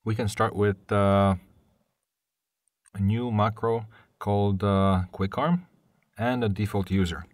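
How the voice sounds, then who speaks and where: A man with a low voice and a dry, close sound walks through a software tutorial. He speaks as a technical presenter in a live webinar, pausing often in the middle of his sentences as he explains each step. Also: fairly even intonation, no echo. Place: voice-over on a screen-share webinar.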